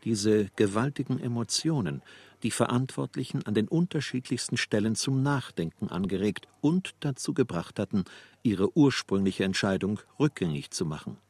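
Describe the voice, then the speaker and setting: An actor playing a grave townsman, one of several witnesses narrating a radio drama in a deliberate, measured way. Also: on the low side, animated, a little mellow, slightly rough, dry recording. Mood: measured, serious.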